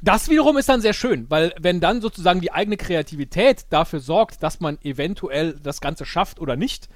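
A man in an excitable, casual debrief chat who acts out his remembered thoughts as he talks. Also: fairly high pitch, brisk, no room sound. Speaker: podcast guest and improv player.

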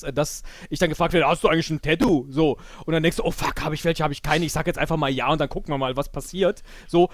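A man with a fairly high voice talks in an animated, casual way. He is given to exclamations and slips into little quoted asides. The sound is dry, with hardly any room around him. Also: no room sound. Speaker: podcast guest and improv player. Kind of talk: casual chat, debriefing an improv audio game.

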